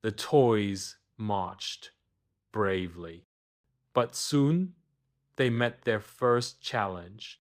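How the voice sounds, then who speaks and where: A man narrating a scripted children's bedtime story, expressive and deliberate, with dramatic pauses. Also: lowish voice.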